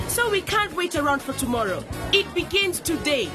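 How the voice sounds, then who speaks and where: An actress playing a determined nineteen-year-old councillor, giving an emphatic victory speech in a radio drama. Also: female voice, very high-pitched, sing-song, normal speed. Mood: rallying, confident. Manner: emphatic, declamatory public speaking. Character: determined nineteen-year-old newly elected woman councillor addressing supporters. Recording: music and crowd behind voice. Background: music and cheering crowd.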